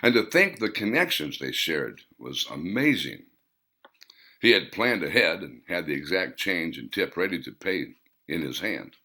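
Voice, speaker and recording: A man reading his own story aloud in a plain, unhurried narrating manner. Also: sits low, sharp tone.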